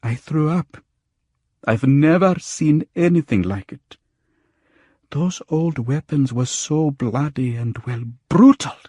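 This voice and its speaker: A man voicing a shaken, frightened woman with a husky voice and a grave, urgent manner, in audiobook dialogue. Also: fairly high pitch, animated, takes it slowly, dark tone, dry recording, clean studio sound, voice pushed up, full character voice. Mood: sickened, distressed. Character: shaken, frightened woman recounting a friend's murder.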